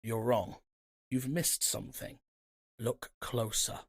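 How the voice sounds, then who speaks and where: An actor playing a guarded, gruff investigator in an audio drama, with a husky, gravelly voice and a dry, terse, commanding manner. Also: male voice, medium pitch, steady tone, slow pace, full character voice. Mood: quietly probing. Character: guarded, gruff male paranormal investigator James Hunter.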